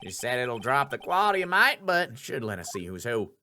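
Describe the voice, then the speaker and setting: A man hosting a game playthrough, thinking aloud in an animated way and repeating himself as he reads and comments. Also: mid-range voice, a little fast, a little hiss or hum. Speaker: voice actor host of a game playthrough. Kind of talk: casual commentary and reading game dialogue aloud.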